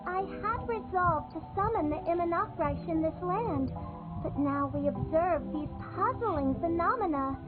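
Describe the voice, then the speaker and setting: A woman's voice: extremely high pitch, lively intonation, a little slow. A woman playing a theatrical girl who styles herself a princess of darkness, speaking in grand archaic phrases in a video game. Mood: grandiose. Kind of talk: video game character acting. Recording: tinny game capture with music.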